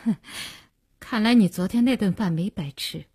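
A woman playing a cold, sarcastic, fed-up partner with a breathy, husky voice and curt, biting delivery in an audiobook. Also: on the high side, big pitch swings, moderate pace, a little mellow. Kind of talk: dramatised audiobook dialogue.

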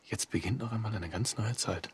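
An actor playing a melancholy middle-aged merchant senator in a radio drama, speaking in a halting, weary way. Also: male voice, lowish voice, breathy edge, no echo. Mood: brooding, weary. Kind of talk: German radio drama acting.

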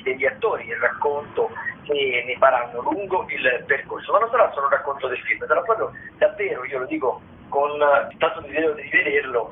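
A man giving an informal, wordy radio rundown of theatre listings, halting as he searches for words. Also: a little high. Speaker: theatre radio show contributor. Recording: tinny phone line with noise.

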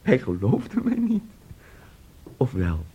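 A man acting an excitable, boastful young son in a radio play, telling things with theatrical eagerness. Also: medium pitch, takes it slowly, breathy edge, heavily acted. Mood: excited, dreamy.

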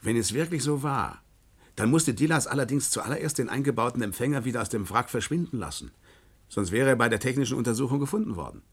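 An actor playing a cautious, thoughtful investigator, deliberating sceptically in a radio play. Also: male voice, medium pitch, animated, fast, slightly rough. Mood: pondering. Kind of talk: German radio play acting.

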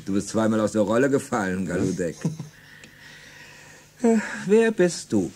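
An actor playing a cynical aristocratic slaveholder in a German radio drama, laughing and commanding. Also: male voice, on the low side, huge pitch swings, unhurried, a little mellow, breathy edge, exaggerated voice. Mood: scolding. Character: cynical aristocratic slaveholder, middle-aged man.

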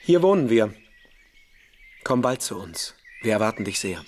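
An actor playing a calm, prophetic young man who speaks solemnly and with assurance in a German radio play. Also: fairly low pitch, moderate pace, slight background noise. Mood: calm, welcoming.

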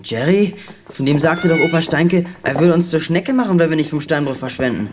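A woman's voice: deep voice, a little fast, noisy background, lightly acted. An actress playing a confident, decisive teenage boy who leads a gang of young detectives in a radio play. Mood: puzzled. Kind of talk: 1980s German children's radio play acting.

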